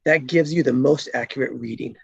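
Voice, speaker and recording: A woman playing an easygoing, playfully boastful male cop in a comedy script read, teasing and laid-back with a husky, gravelly voice. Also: a bass voice, brisk, a little mellow, no echo, highs cut off, heavily acted. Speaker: actor in an online script table read. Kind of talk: live comedy screenplay table read.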